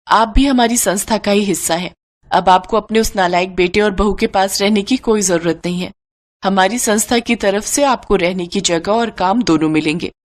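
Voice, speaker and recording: A woman voicing a kind, reassuring woman who leads a charity, in a gentle manner. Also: mid-range voice, close to an everyday voice.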